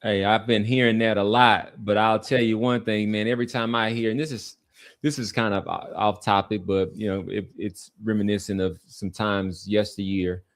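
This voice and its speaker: Man musing haltingly on a podcast. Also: on the low side, fairly even intonation. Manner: halting, reflective, rambling. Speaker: sports podcast host. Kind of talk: casual sports and society chat.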